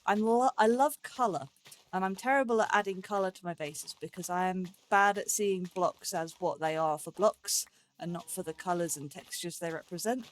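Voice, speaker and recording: A woman's voice: fairly low pitch, normal speed. A woman giving casual let's play commentary as the host of a gaming series, rambling and thinking aloud as she goes.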